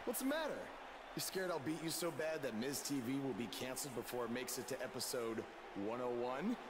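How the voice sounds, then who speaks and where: A man's voice: mid-range voice, lively intonation, a little fast, close to an everyday voice. A man playing a cocky, defiant wrestling newcomer in video game dialogue. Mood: taunting. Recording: game audio with clear background noise.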